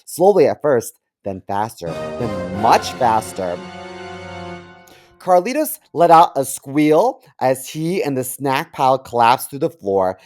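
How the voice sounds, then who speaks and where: A man's voice: medium pitch, a little mellow. A man co-hosting a podcast, reading a comic story aloud and chatting casually. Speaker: podcast co-host.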